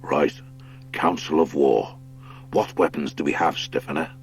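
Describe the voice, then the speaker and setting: A man plays an old badger lord from an animal adventure audiobook. He is gruff and very low in pitch, a steady commander who speaks with deliberate authority and weighs things as he talks. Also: soft background music. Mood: commanding. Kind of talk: audiobook character acting.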